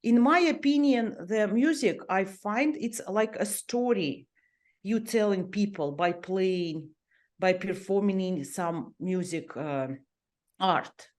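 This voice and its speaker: A Russian-born woman, a violinist and music teacher, speaking English as a second language with a Russian accent, pausing often as she searches for words. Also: mid-range voice, a little mellow. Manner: hesitant, searching for English words, many pauses. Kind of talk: personal interview talk.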